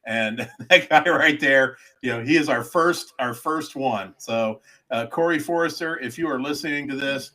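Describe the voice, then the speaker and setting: Podcast host guy, bright voice, rambly and jokey. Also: mid-range voice, normal speed. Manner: joking, rambling, stumbles on words. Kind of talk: casual podcast banter.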